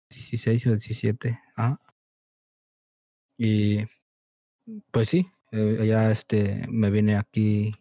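A young man in his twenties speaks Spanish with a Mexican accent. His voice sits low and mellow, and he talks in a slow, laid-back way, full of fillers and slang. The recording is dry and close, with no room sound. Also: dry recording. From born in the United States, raised in Mexico. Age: 20s. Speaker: guest. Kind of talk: casual chat.

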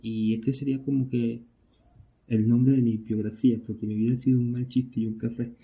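Husky, mellow Venezuelan man podcasting. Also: medium pitch, level tone, moderate pace. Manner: conversational, asks and answers own questions. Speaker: podcast host. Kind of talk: casual solo podcast monologue.

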